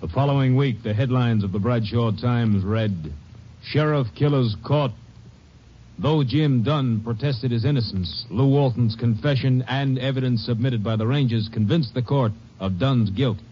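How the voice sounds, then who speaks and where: A male announcer giving scripted narration in an even, formal way. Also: medium pitch, normal speed, slight background noise. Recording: muffled old radio broadcast.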